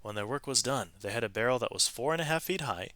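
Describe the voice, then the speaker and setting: A man narrates a storybook as a read-aloud for students. His voice is bright and forward at a middle pitch, and he leans on key words with expressive phrasing. The sound is dry and close, with little room around it. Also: fast talker, no echo.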